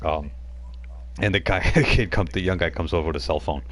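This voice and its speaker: A man's voice: deep voice, fairly quick. A man narrating as game master of a tabletop role-playing game, rambling with many fillers.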